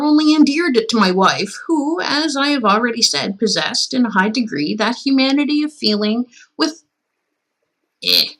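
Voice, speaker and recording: A woman's voice: fairly high pitch, rough recording. A woman hosting a livestream, reading stories aloud expressively and chatting casually in between. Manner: measured, expressive reading; playful, chatty asides. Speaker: livestream host reading classic tales aloud. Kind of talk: story reading aloud with casual chat asides.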